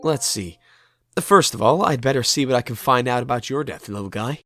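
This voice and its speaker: A man playing a wry, kindly ghost detective with an expressive delivery in a game fandub. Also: medium pitch, a little fast, close to an everyday voice. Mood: determined. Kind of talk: animated game fandub acting.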